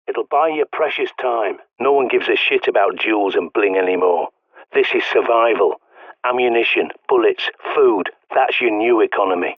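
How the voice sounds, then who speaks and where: An actor with a British accent playing a gruff ex-soldier survivalist who mentors the player over the radio, blunt and fatherly. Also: on the low side, no echo, close to an everyday voice. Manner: blunt, dry, fatherly, barks orders when urgent. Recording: tinny radio-filtered line, dry.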